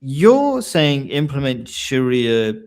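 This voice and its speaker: A man's voice: medium pitch, very animated, slow pace, darkish tone. A man from England hosting a live online discussion, asking questions and often restarting his sentences. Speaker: host.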